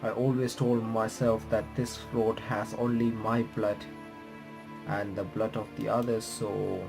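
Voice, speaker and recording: A man reading visual novel text aloud with bits of his own commentary, halting and correcting himself as he goes. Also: fairly low pitch, level tone, vocal fry. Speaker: gaming reaction streamer. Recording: music playing behind the voice. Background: game music.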